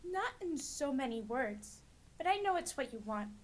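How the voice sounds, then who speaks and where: Girl acting a sad young fiancee in a radio play, super high voice. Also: very animated, moderate pace. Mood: hurt, resigned. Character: hurt young fiancée, gently breaking off her engagement.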